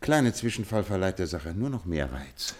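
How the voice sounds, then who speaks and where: A man acting a cool, analytical detective in a radio play, speaking in a measured, dryly ironic way. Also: low voice, very animated. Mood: composed, wry.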